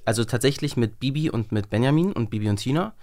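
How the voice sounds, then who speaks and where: A man's voice: medium pitch, expressive, fast, darkish tone. A young man as an interview guest, telling anecdotes in an informal, self-amused way. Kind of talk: casual anecdotal chat.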